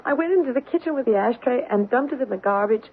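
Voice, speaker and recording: A high, husky female voice sounds through a thin old radio broadcast. a fretful, frightened housewife, halting and nervous, as if she can barely stand her haunted home. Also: lively intonation, phone-quality sound. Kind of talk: vintage radio drama acting.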